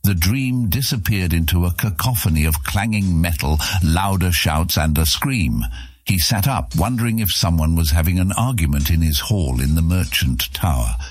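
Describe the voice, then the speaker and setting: A man narrating an epic fantasy audiobook in a dramatic, measured, suspenseful storytelling style. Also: sits low, animated.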